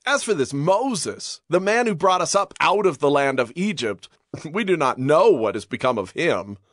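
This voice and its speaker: High, bright, insistent rabble-rouser. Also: male voice, very animated, normal speed, no echo, clean studio sound. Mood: demanding, dismissive. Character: restless, demanding man of the Israelite crowd. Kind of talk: dramatized scripture acting.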